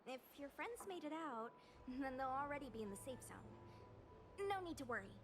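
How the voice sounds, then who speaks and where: A woman's voice: high-pitched, sing-song, normal speed, background noise. A woman playing an eager, scatterbrained young female knight in a video game, chatty and emphatic. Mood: flustered, brisk.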